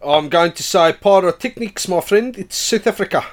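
A man's voice: high-pitched, normal speed. A man taking part in a friends' quiz, confident in his answers and teasing the others. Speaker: quiz contestant. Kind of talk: casual banter.

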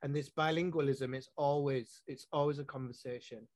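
A man's voice: mid-range voice, steady tone, moderate pace, a little mellow, no room sound. A man from Yorkshire with a Yorkshire accent, a poet talking in an interview in a thoughtful, rambling way with frequent restarts. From Yorkshire, England. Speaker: poet and publisher. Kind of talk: interview conversation.

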